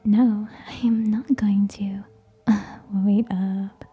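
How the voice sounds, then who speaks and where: A woman playing a haughty, teasing tsundere vampire in a scripted ASMR roleplay, whispering scornfully and deliberately, close to the microphone. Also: medium pitch, level tone, dark tone, breathy edge, highs cut off, faint music behind. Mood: irritated, lecturing.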